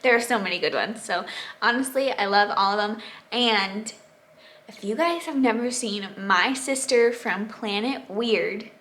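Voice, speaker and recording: A woman in her twenties with an American accent, chatting casually to viewers as a vlogger in a bubbly, expressive, emphatic way. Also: a little high, unhurried. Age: 20s. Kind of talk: casual question-and-answer chat to viewers.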